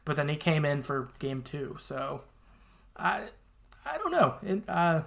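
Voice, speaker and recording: A man's voice: a little high, big pitch swings, unhurried, creaky, fairly bright tone. A man hosting a podcast in a casual, rambling and agreeable chat style with some hesitation. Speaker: podcast host.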